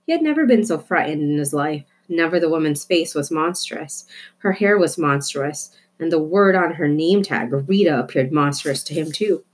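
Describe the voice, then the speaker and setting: Low-pitched woman reading a children's story. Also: lively intonation, moderate pace, breathy edge. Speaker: storybook reader. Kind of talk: expressive children's book narration.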